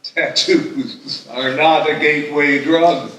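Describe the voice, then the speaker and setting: A man playing a mischievous, sweetly stubborn eighty-year-old husband with an earnest deadpan, at a live table read. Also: fairly high pitch, takes it slowly. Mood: defensive. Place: live festival stage reading. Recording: echoey hall, distant microphone.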